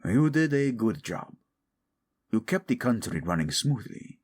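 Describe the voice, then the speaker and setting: Slow, teasing king played by a man. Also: mid-range voice, sing-song, darkish tone, breathy edge. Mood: grateful, dismissive. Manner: teasing, playful, tender, chuckling often. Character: teasing, devoted male king, playful with his wife. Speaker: audio roleplay voice actor. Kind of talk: intimate romantic audio roleplay acting.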